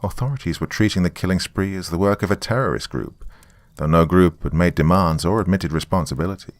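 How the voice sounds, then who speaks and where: A man's voice: low-pitched, a little fast, no echo, lightly acted. A man playing a weary, frightened writer who narrates a horror story in musing, wry asides. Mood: grave, confiding. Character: weary, frightened male writer recording a confession on tape.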